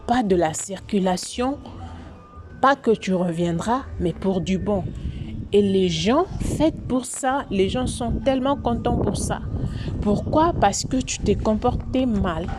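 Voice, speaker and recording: A woman's voice: medium pitch, lively intonation, a little slow, noisy background. A woman from Congo, hosting a commentary vlog in a preachy, moralizing way that repeats its points. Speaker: YouTube channel host.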